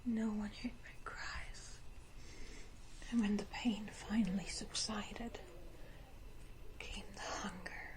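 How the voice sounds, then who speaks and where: Woman whispering as a slow, brooding female vampire. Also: mid-range voice, fairly even intonation, lightly acted, poor audio. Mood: haunted, bitter. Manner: slow, deliberate, theatrical whispering with dramatic pauses. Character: brooding, sinister female vampire, wounded yet condescending. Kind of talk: whispered ASMR roleplay monologue. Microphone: close ASMR microphone.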